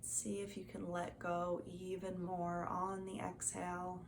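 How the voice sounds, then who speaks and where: A woman leads a guided meditation very slowly, with a soothing, gentle delivery. Her voice sits a little low and has a noticeable creak. There is faint noise behind her. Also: completely flat delivery.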